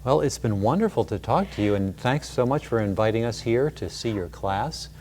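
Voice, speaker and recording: A man's voice: medium pitch, lively intonation, moderate pace, a little mellow, a little hiss or hum. A man interviewing an older couple, enthusiastic and full of exclamations and affirmations. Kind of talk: casual interview chat.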